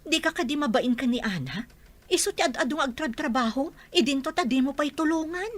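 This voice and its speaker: A woman acts a scolding Filipino mother in a radio drama. She sounds disappointed, with a somewhat high voice that rises and falls sharply. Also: animated, a little fast, a little hiss or hum.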